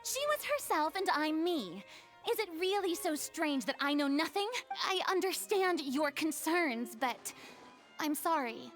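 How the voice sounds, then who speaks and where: A woman's voice: high voice, expressive, a little slow. A woman voicing a youthful, proud and defensive female deity in a video game, with haughty, dramatic delivery. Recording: faint music behind game dialogue.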